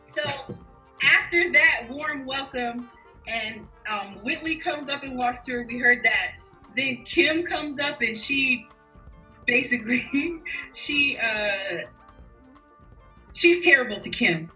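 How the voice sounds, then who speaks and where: A woman's voice: high-pitched, animated, slow, sharp tone, soft background music, rough recording. A woman in her forties chatting as co-host, laughing often and telling stories with animation. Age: 40s. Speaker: podcast co-host. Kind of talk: casual chat recapping a TV episode.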